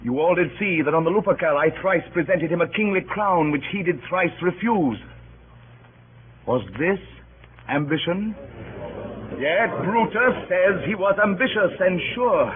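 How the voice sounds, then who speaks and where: An actor playing a grieving Roman orator stirring a mob, in theatrical, declamatory old-time radio Shakespeare style. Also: male voice, high-pitched, a little slow. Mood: impassioned, ironic. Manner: theatrical, declamatory, swinging from hushed grief to cries. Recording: muffled, hissy old radio recording.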